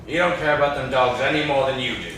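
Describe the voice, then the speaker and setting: A man's voice: mid-range voice, fast, a bit bright, close to an everyday voice. A young man acting in an amateur stage play as a menacing, mournful old cabin man with a folksy rustic drawl. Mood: accusing. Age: young adult. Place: classroom, indoors. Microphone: far camera. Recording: echoey classroom, distant camera mic, noisy.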